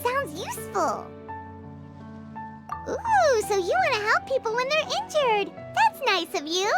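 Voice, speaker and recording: A woman voicing a small, childlike floating fairy companion in a video game, chatty and excitable, with a squeaky voice. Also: a little slow, over loud music, heavily acted. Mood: curious, chirpy. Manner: refers to self in third person, exclaiming.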